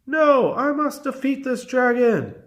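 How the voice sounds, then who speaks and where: A man's voice: medium pitch, slow pace, fairly bright tone. A man voicing a brave, defiant witch princess in a fairy tale, speaking boldly and emphatically. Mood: determined. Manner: bold, emphatic, taunting.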